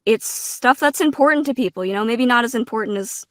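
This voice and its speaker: A woman giving a casual, reflective interview answer, earnest and halting, with informal turns of phrase. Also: on the high side, no room sound, studio quality. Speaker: guest voice actor and streamer.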